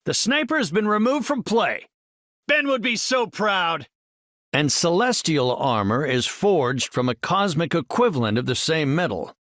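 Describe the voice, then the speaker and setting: An actor playing a brilliant, composed superhero scientist in a video game, with articulate, earnest, scholarly delivery. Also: male voice, fairly high pitch, big pitch swings, unhurried, fairly bright tone, no echo, studio quality. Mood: confident, determined. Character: brilliant, composed male superhero scientist leading his team. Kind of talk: video game character voice lines.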